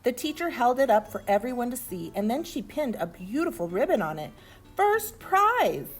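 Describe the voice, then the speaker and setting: A woman reads a children's storybook in an expressive, deliberate storytelling manner. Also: fairly high pitch, husky, soft background music. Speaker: storybook reader. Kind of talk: animated children's story narration.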